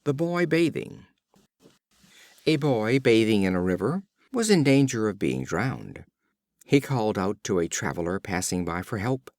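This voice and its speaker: Low-pitched man narrating fables with lively intonation. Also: measured pace, dry recording, studio quality. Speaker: audiobook reader. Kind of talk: scripted storybook narration of fables.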